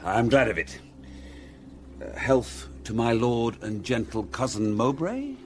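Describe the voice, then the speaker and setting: An actor playing a calculating noble lord who serves a prince in a classical radio drama, courtly and formal. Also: male voice, medium pitch, expressive, unhurried, a little hiss or hum, natural voice. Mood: smooth, deferential.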